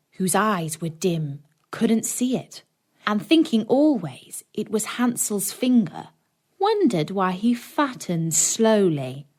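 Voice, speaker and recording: A woman narrating a scripted children's story in a dramatic, deliberate storytelling manner. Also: medium pitch. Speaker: audiobook narrator.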